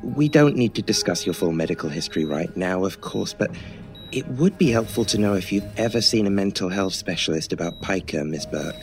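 Low-voiced, chatty hospital nurse. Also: male voice, animated, brisk, faint music behind. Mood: apologetic, polite. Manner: polite, wordy, gently joking. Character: chatty, joking, mild-mannered male hospital nurse. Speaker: voice actor in an audio drama. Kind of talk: old time radio horror drama acting.

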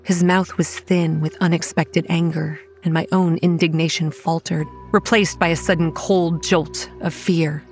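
A young woman playing a wry, anxious campground manager, giving measured first-person narration in a horror audio drama. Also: fairly low pitch, steady tone, soft background music. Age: young adult.

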